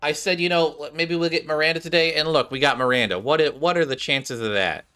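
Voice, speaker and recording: A man's voice: on the high side, fairly quick, bright, forceful tone, smooth. A man hosting a gaming video, giving casual, chatty commentary addressed straight to his viewers. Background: game music.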